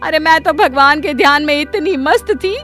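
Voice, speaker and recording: A woman's voice: high voice, moderate pace, fairly bright tone, soft background music, pitched above the performer's own voice. A woman voicing an indignant, mocking middle-aged neighbourhood housewife in a cartoon moral story, scolding and complaining. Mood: shocked, complaining.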